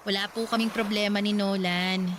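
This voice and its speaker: A woman playing a good-natured young market woman in a radio drama, in a conversational, gently protesting way. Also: medium pitch, flat delivery, moderate pace, a little hiss or hum, lightly acted. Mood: confiding. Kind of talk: Tagalog radio drama acting.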